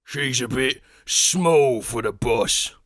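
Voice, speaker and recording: A man's voice: mid-range voice, animated, slow, fairly bright tone, no echo, studio quality. An actor playing an ugly, jealous male thug underling in an audio drama, drawling and sneering. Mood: predatory.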